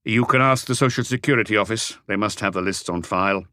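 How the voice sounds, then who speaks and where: A man voicing a calm, probing police inspector who asks short, measured questions in an audiobook mystery. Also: on the low side, dry recording, clean studio sound. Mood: probing, patient.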